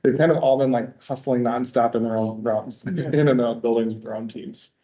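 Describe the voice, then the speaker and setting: Mellow-voiced man presenting to a board. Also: mid-range voice, normal speed, dull audio. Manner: relaxed, conversational with many fillers. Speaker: district curriculum presenter. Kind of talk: presenting at a school board workshop. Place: meeting room.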